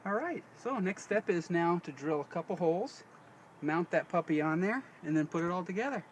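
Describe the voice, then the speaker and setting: A man's voice: high voice, animated, fairly quick. A middle-aged man giving a casual hands-on how-to, thinking aloud in a folksy way as he works inside a vehicle. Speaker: DIY demonstrator. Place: inside a parked Jeep. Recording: noisy handheld recording in a vehicle.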